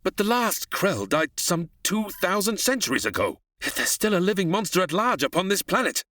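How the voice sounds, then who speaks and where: A man's voice: high voice, very animated, normal speed, sharp tone, no room sound, clean studio sound, full character voice. An actor playing a proud, defensive scientist father in a retro radio drama parody, with pompous, theatrical delivery. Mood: pedantic.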